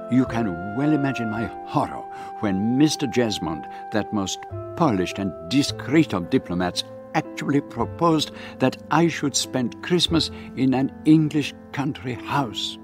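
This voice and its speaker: An actor playing a fastidious middle-aged detective in a radio play, precise and slightly fussy. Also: male voice, mid-range voice, close to an everyday voice. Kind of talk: 1960s radio drama acting.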